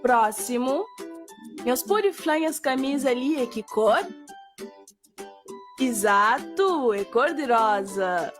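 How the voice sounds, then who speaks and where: Woman acting slow, encouraging cartoon schoolteacher, Cape Verdean. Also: on the high side, expressive. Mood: encouraging, instructive. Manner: slow, instructive, questioning and encouraging. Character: cheerful, patient female schoolteacher in a children's cartoon. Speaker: teacher. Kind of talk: scripted children's language lesson. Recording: children's music under the voice. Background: music for children.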